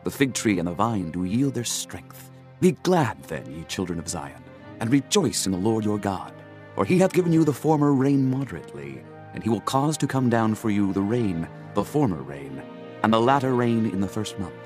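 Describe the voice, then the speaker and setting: A man narrating a dramatized scripture audiobook in a solemn, declamatory, measured manner. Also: on the low side, big pitch swings, a little mellow, faint music behind.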